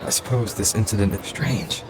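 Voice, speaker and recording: An actor playing a calm, inquisitive young male adventurer in a video game. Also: lowish voice, normal speed, background noise, natural voice. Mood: calm, pondering. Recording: loud music under voice.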